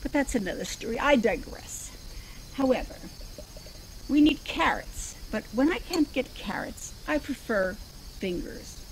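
A woman's voice: high-pitched, expressive, unhurried, a little hiss or hum, low-quality recording. An older woman playing a genteel elderly zombie woman hosting a cooking show outdoors, prim and chatty, wandering off into digressions. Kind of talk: improvised comedy sketch. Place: outdoors in a backyard.

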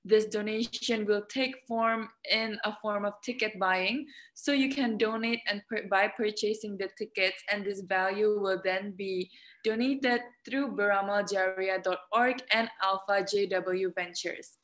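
A woman's voice: mid-range voice, steady tone, a little slow, a bit bright, poor audio. A young woman moderating a live online talk over a laptop webcam, upbeat and reading announcements. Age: young adult. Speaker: moderator host. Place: indoor room. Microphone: laptop webcam on video call.

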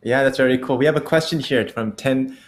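A young man hosting a casual chat over a video call, friendly and quick to laugh, on an earphone mic at home. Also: mid-range voice. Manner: friendly, chatty, laughs often. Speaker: host. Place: home room.

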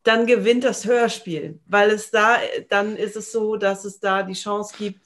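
A woman's voice: medium pitch, steady tone, unhurried, a bit bright. A woman, chatting casually as a guest on a video call. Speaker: interview guest, voice actress. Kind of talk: casual chat. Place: home room, video call.

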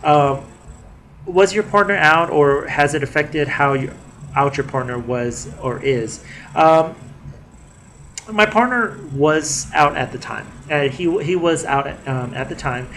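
A man's voice: on the high side, unhurried, a bit bright. A young man talking casually to his webcam in a living room, a little nasal from a cold, with lots of fillers and restarts. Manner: chatty, many fillers and restarts. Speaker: vlogger. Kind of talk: casual video diary. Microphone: webcam at desk distance.

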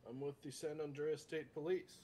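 A man with a somewhat high-pitched voice plays a police officer in an online game. He speaks politely and a bit hesitantly, improvising as he goes. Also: steady tone, normal speed, dark tone, a little hiss or hum. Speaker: roleplayer playing a state police officer.

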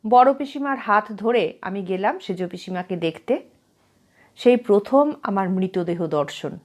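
A woman storyteller reading a literary short story aloud in an expressive narrating style. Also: mid-range voice.

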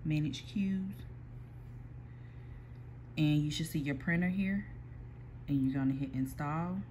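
A mid-pitched, easygoing voice explains a computer workflow one step at a time, pausing and restarting as it goes. It sounds picked up by a phone held toward a screen in an ordinary room. A faint hum of background noise sits under the speech. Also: a little mellow. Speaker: small print shop owner giving a software tutorial. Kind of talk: casual screen-recorded tutorial. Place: indoor workspace at a computer. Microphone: phone filming a computer screen.

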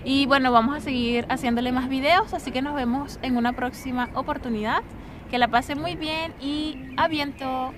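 A young woman with a Venezuelan accent hosting a casual outdoor interview, talking with lively hand gestures. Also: sits high, moderate pace. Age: young adult. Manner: animated, gestures with both hands while talking. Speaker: vlogger host. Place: outdoors on stone steps of an ancient theatre. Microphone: camera mic from a few meters away. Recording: distant outdoor camera audio with street noise.

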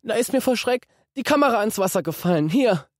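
A man's voice: sits high, expressive, measured pace, warm tone, no echo, pitched above the performer's own voice. A man voicing a guilt-ridden young boy who is close to tears and speaks in a shaky, stammering way. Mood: tearful.

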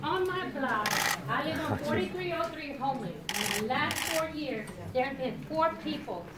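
A woman's voice: sits high, animated, moderate pace, very noisy. An older woman, a local resident, giving plain-spoken personal testimony at a meeting, repeating herself for emphasis. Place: crowded library meeting room, indoors. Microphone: distant camera. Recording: echoey room, distant mic.

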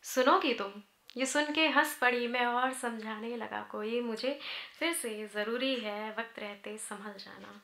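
Bright-voiced woman reciting poetry, roomy sound. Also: a little high, unhurried, a little hiss or hum. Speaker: poet. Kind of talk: live poetry recitation, narrative free verse. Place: indoor auditorium stage at a podium. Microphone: gooseneck podium microphone, close. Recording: roomy auditorium sound through PA microphone.

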